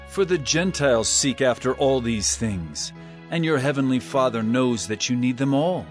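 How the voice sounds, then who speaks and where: A man playing an earnest, solemn male teacher with authority in a dramatized scripture reading, speaking in a measured, emphatic way. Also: on the high side. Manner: measured, emphatic, rhetorical questioning. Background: music.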